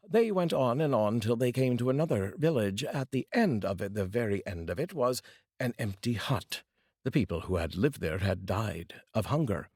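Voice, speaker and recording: Guy reading a folk tale out loud, lowish husky voice, expressive, no room sound. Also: no echo, studio quality. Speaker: storyteller, narrator. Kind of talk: expressive read-aloud folk tale narration.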